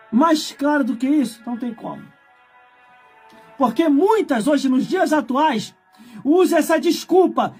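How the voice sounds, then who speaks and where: Preacher man in his forties, very high voice, lively swings, shouting, faint music behind. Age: 40s. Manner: shouting, scolding, emphatic repetition. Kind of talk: fiery sermon.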